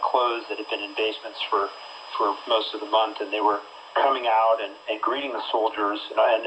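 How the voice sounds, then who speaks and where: A man giving an unscripted eyewitness account as a correspondent, halting and repeating words as he goes. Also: on the low side, fairly bright tone. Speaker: newspaper correspondent. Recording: dull, faintly noisy radio interview line.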